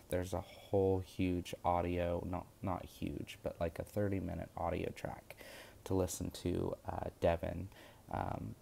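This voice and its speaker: Young guy rambling about his course lessons, super low flat voice, lots of um and you know. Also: creaky, a little hiss or hum. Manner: rambling, hesitant, many fillers and restarts. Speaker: witchcraft course student vlogger. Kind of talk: casual spoken vlog.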